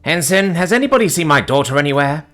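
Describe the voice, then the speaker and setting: A man's voice: on the high side, animated, quick pace, fairly bright tone, faint music behind, pitched above the performer's own voice. A man voicing a flustered female starship captain and protective mother, exasperated and frazzled. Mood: worried.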